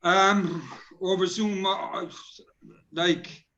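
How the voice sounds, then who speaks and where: Dull-sounding Irish man, slightly high voice. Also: steady tone, very slow, sharp tone. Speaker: workshop speaker advising on recording. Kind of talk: casual advice in an online discussion. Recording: dull lo-fi call audio.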